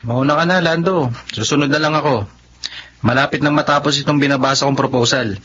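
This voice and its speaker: A man's voice: mid-range voice, fairly quick, a bit bright, close to an everyday voice. A man with a Filipino accent playing an earnest, wounded young husband in a radio drama. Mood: easygoing. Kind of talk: Tagalog radio drama acting. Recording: dull lo-fi radio, faint noise.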